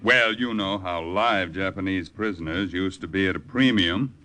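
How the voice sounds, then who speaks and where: A man's voice: sits low, moderate pace, sharp tone. A man playing an authoritative American army colonel in a 1950s radio drama. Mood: businesslike. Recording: faintly noisy old radio broadcast.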